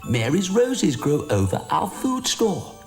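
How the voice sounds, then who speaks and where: A man voicing a group of tiny, bossy male imps of spring who shout and scold, pitched very high. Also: huge pitch swings, slow pace, faint music behind, heavily acted.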